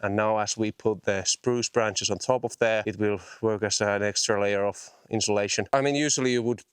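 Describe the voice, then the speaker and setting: A man's voice: on the low side, normal speed. Man explaining bushcraft outdoors. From Finland. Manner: relaxed, friendly, explains as he builds. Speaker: bushcraft vlogger teaching a beginner. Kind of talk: casual instructional chat while working. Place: outdoors in a forest. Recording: outdoor noise and clicks.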